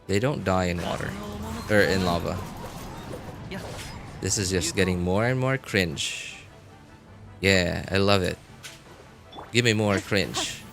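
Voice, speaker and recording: A man giving casual live gameplay commentary, thinking aloud in a halting way with sarcastic asides. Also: low-pitched, vocal fry, music in the background. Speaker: game streamer.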